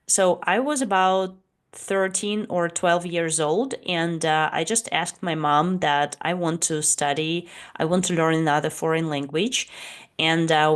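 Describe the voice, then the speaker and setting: Low-voiced Russian woman, shy and slow. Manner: a bit shy, hesitant, with frequent pauses. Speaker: English teacher and channel host. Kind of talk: casual talking-head vlog introduction.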